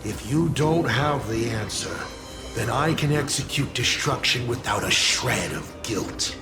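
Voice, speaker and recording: An actor playing a mocking, theatrical male villain in a video game, teasing and drawing out his words, with breathy dips toward a whisper. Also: medium pitch, lively intonation, close to an everyday voice. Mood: smug, needling. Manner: teasing, taunting, drawn-out dramatic delivery. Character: mocking, theatrical male villain, a fallen navigator turned destroyer. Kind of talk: dramatic video game voice acting. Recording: loud game music behind. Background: game soundtrack music.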